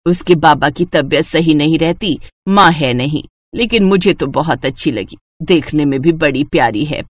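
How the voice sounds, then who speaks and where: A woman's voice: deep voice, normal speed, no echo. A woman voicing a homely older village mother in a cartoon story, bossy yet loving, chatty and emphatic.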